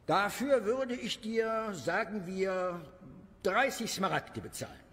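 A man plays a greedy, pompous village priest in an audio story. The voice is high and swoops around in a long reverb. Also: lively intonation, takes it slowly, fairly bright tone, full character voice. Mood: scheming.

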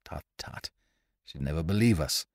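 A man's voice: rumbling low, animated, moderate pace, dry recording, studio quality, full character voice. Man voicing a swaggering, reckless sea rogue. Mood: hushed. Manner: swaggering, archaic seafaring speech. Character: reckless, jovial male sea adventurer.

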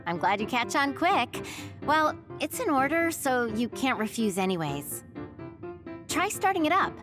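A woman's voice: high voice, big pitch swings, unhurried. A woman playing a friendly, caring young military operator in an anime game, informal and reassuring. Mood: informative. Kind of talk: English anime-game voice acting. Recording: music behind the voice.

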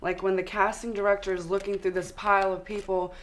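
A young woman with a Southern US accent, a guest on a studio interview, giving steady explanatory advice. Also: mid-range voice, a little fast, noisy background. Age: young adult. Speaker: actress and model guest. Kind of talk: interview answers. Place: studio with city backdrop, seated on sofa.

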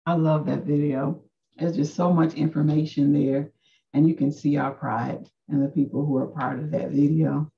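A woman's voice: deep voice, steady tone, normal speed, vocal fry, far from the mic, muffled recording. A middle-aged woman presenting for admissions on a video call from her office.

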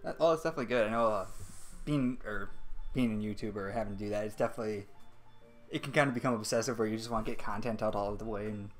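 A man's voice: fairly low pitch, fast, faint music behind. A young man hosting a casual stream interview, rambling and joking as he reads questions from chat.

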